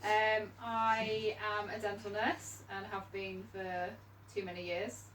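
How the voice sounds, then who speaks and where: A woman's voice: mid-range voice, flat delivery, slow, fairly bright tone, slight background noise. Modest, wry woman introducing herself. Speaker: dental nurse taking part in a session. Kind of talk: casual group introduction. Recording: echoey room, mic at a distance.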